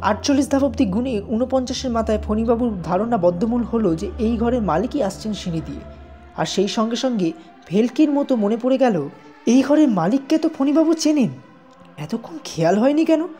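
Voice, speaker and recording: A man with a soft, mellow tone narrates a dramatic audio story. He tells it expressively and builds suspense, with music playing underneath. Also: very high-pitched, lively intonation, a little fast. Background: background music.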